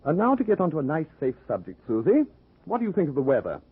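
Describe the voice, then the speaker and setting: An actor playing a suave, unflappable bachelor adventurer in an old-time radio comedy-mystery, speaking in an urbane, drily witty, mock-polite way. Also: male voice, a little high, wildly swooping pitch, fast talker, slightly rough, narrow, tinny audio, exaggerated voice. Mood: suave, playful.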